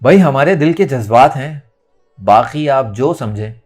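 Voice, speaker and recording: Narrator as soft-hearted, amorous older gentleman lawyer, courtly and animated, music beneath, for audio fiction. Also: medium pitch, measured pace, breathy edge. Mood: flirtatious. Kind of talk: dramatic audiobook character acting.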